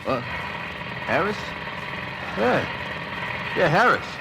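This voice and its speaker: A friendly, wary workingman in a radio drama. His fairly high voice swings expressively through folksy, rough grammar. Loud engine noise runs behind him. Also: big pitch swings, very slow, full character voice. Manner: folksy, ungrammatical working-class speech. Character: friendly, wary male tunnel labourer, plain workingman. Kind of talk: 1940s radio acting.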